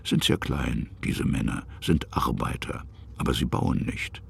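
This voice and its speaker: Sober radio drama narrator, bottomless bass with a husky grain, spare and deliberate literary phrasing. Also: male voice, exaggerated voice. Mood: sober, hushed. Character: sober narrator describing scenes, addressing the listener.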